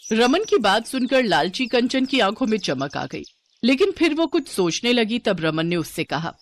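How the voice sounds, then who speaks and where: A woman's voice: a little high, big pitch swings, normal speed. A woman narrator delivering a scripted moral story as storytelling narration.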